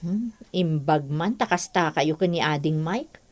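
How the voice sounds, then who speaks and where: A woman's voice: deep voice, measured pace. A woman with an Ilocano accent acting a talkative, frank elder sister in a radio drama. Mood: approving, frank.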